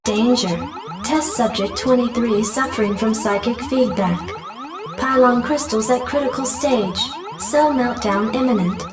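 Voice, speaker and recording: A woman's voice: on the high side, measured pace, close to an everyday voice. A woman playing an impassive laboratory computer in a video game, announcing in a clipped, impersonal way. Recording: echoey, distant, music and alarms behind.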